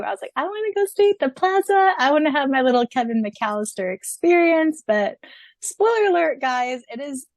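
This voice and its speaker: A young adult woman co-hosting a podcast in an animated, exclamatory, casual style. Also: on the high side, dry recording. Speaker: podcast co-host. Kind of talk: casual chat.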